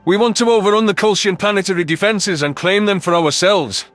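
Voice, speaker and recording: A man's voice: high-pitched, a little fast, a bit bright, soft background music. A man playing a thoughtful alien soldier who narrates his own story in a scripted sci-fi audio drama. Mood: reflective, explanatory.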